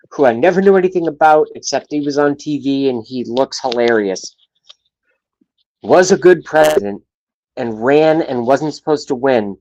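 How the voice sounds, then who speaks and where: A man in his late thirties hosting a livestream talk show, rambling and profane, with his loudness swinging up and down. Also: mid-range voice, low-quality recording. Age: 30s.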